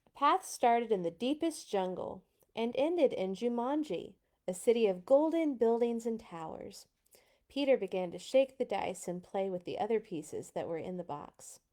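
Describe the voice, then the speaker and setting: A woman narrating a children's storybook aloud in an expressive read-along style. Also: on the high side, normal speed.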